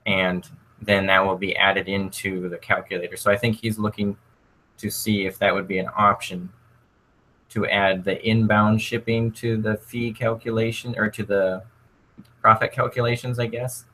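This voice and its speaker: A man moderating a casual livestream Q&A, relaxed and thinking aloud as he passes on viewers' questions. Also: fairly low pitch, normal speed, a little hiss or hum, rough recording.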